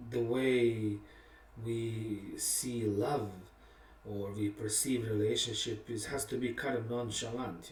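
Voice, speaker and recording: A man of middle years, a songwriter, recounts the story behind a song in a low, easygoing voice. He talks candidly and reflectively, pausing often and chuckling at himself. The mic sits some way off, leaving a roomy echo around him. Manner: reflective and candid, with many pauses and fillers, laughing at himself. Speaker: songwriter and musician. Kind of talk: casual personal storytelling about a song. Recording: roomy sound from a distant mic.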